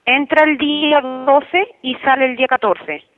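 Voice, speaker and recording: A woman's voice: sits high, fairly even intonation, measured pace, no room sound, soft background music. Firm receptionist woman on a muffled phone. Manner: matter-of-fact, firm. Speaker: hotel receptionist. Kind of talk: customer service phone call. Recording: muffled telephone line.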